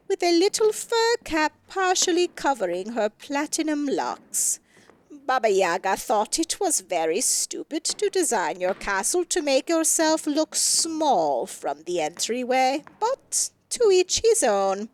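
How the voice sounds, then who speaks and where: Slow, animated woman storyteller. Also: high voice. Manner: expressive storytelling with deliberate emphasis. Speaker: story narrator. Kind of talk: scripted fairy tale narration.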